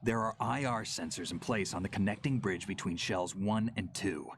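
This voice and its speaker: A man playing a gruff, seasoned soldier in a video game, giving terse, businesslike instructions. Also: deep voice, moderate pace, a little hiss or hum. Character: gruff, seasoned male soldier, coolly instructing over radio. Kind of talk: video game cutscene acting.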